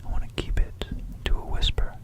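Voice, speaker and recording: An ASMR host narrating an educational piece in a hushed, breathy, unvoiced whisper, unhurried and conspiratorial, close to a stereo microphone. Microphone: close stereo binaural microphone.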